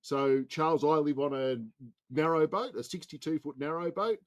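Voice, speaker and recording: Higher-pitched Australian man, chummy call host. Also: moderate pace, muffled recording. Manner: chummy, informal address. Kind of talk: casual chat on a group video call. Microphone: video call.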